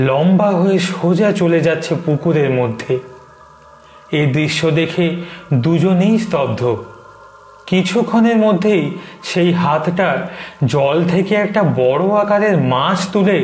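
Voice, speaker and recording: Male storyteller for animated ghost tale: slightly high-pitched, scripted narration, echoey with faint noise behind.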